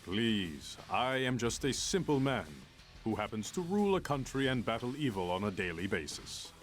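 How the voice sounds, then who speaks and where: A man playing a regal, courteous warrior king in a video game, measured and dryly dignified. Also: mid-range voice, big pitch swings, faint music behind, lightly acted. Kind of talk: video game character acting.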